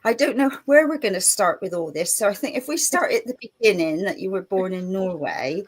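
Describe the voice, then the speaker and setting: A woman's voice: medium pitch, animated, a little fast. Woman, talk show host, chatty, rambling, laughs a lot. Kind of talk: casual chat.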